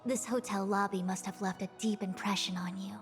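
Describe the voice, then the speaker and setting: A woman's voice: medium pitch, natural voice. Woman as a composed, formal lady sage, reverberant. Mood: analytical. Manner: calm, formal, measured. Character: composed, wise young female fortune-telling master. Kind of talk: acted video game dialogue. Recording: reverberant, with music behind.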